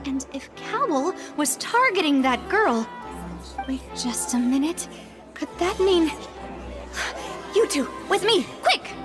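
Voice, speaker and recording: A woman voicing a haughty young noblewoman in a video game, theatrical and deliberate, with a dramatic, superior air. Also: sits high, very animated, exaggerated voice. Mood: dawning urgency. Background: game music.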